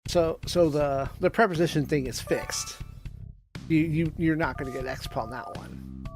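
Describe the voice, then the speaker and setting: A let's play co-host chatting casually in a halting, quizzing way. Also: mid-range voice, very animated, darkish tone, faint music behind.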